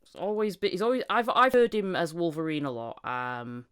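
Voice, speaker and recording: A woman's voice: fairly low pitch, very animated, moderate pace, a bit bright, smooth. A woman hosting a casual interview podcast, talking in an enthusiastic, rambling way. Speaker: podcast host.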